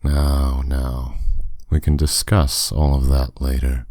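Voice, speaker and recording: A man's voice: very deep voice, level tone, slow pace, warm tone, dry recording, clean studio sound. A man playing a dominant, suave demon lord in an intimate roleplay audio drama, speaking in a commanding, condescending, teasing way.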